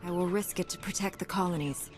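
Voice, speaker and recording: Firm, composed actress as Jedi heroine. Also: female voice, lowish voice, fast, close to an everyday voice. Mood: resolute. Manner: calm, firm, dutiful. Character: resolute, composed female Jedi Knight heroine. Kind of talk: video game dialogue. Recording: game music underneath.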